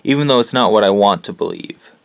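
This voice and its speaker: A man's voice: on the low side, expressive, fast talker, creaky, smooth, no room sound. An actor playing an earnest, loyal, troubled teenage boy with a sincere, plain-spoken way of talking in an audio drama. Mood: reflective, uneasy. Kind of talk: conversational audio drama acting.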